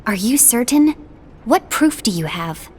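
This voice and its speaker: Composed young game apprentice, higher voice, lively intonation. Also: female voice, unhurried, slight background noise. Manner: composed and questioning. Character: calm, composed young female apprentice in a fantasy world. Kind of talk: video game cutscene acting.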